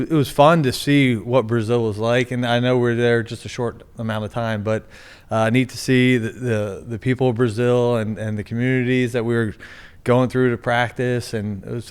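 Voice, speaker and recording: A man's voice: mid-range voice, level tone, fairly quick, clean tone. A man being interviewed as a sports team's general manager, talking casually with many fillers and restarts. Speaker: NFL general manager, guest.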